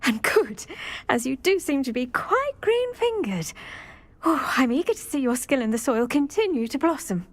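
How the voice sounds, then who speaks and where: Kindly plant teacher for a fantasy game, high swoopy voice, super keen and theatrical. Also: female voice, sing-song, moderate pace. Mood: delighted, warm. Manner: theatrical, effusive, genteel wording. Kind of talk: video game character acting.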